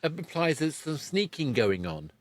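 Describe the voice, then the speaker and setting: A man's voice: fairly high pitch, sing-song, measured pace, dry recording. Give me a male podcast host, dry close sound. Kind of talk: conversational interview, explaining.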